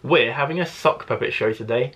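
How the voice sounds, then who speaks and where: A man's voice: mid-range voice, fairly quick, natural voice. Man voicing an upbeat female primary school teacher. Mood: cheerful announcing.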